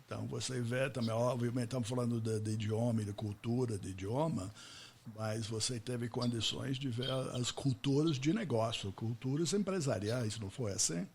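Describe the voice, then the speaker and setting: A man's voice: mid-range voice, normal speed, a little hiss or hum. Man chatting in Portuguese. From United States. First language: English. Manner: chatty, checks in with tag questions. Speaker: podcast host. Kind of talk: casual interview chat.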